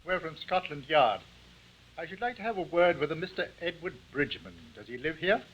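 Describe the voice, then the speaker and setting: A dull, old radio broadcast. a formal police detective with a slightly raised voice, courteous and insistent, in a crime drama. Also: male voice, fairly high pitch, animated, brisk, a bit bright, breathy edge. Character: polite, firm Scotland Yard police detective.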